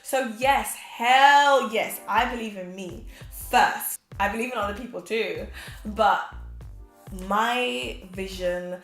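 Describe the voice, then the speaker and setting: A woman's voice: a little high, slow. Upbeat young woman vlogging in an echoey room. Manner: energetic, expressive, gestures a lot, laughs often. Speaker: vlogger, motivational host. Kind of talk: casual motivational chat to camera. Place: small indoor room, seated on a chair. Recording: roomy echo with faint background music.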